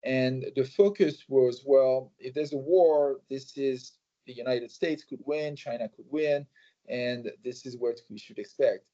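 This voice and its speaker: A man answering interview questions in a measured way, with many fillers and restarts. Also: mid-range voice, mellow. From France. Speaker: think tank president, guest. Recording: slightly muffled recording.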